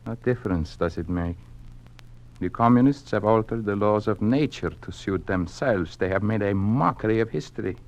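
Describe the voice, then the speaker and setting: Embittered, defiant male dissident, played by an actor, low and gravelly, solemn delivery, vintage radio drama. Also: moderate pace, darkish tone, close to an everyday voice. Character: embittered, defiant male scholar, weary of hiding. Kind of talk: 1950s radio drama acting. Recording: faintly noisy vintage radio recording.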